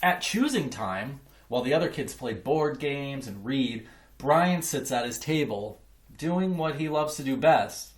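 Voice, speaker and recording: A man reading a children's picture book aloud in an expressive, emphatic way, like a teacher. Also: mid-range voice, measured pace. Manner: emphatic, acts out dialogue. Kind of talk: expressive children's storybook narration.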